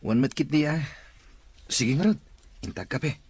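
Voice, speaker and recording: Flattering young cousin. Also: male voice, medium pitch, normal speed, dry recording. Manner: smooth, flattering, coaxing. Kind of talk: radio drama acting.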